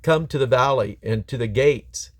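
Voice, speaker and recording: A man's voice: mid-range voice, normal speed. A man reading scripture aloud as a Bible reader, in a solemn, emphatic storytelling manner.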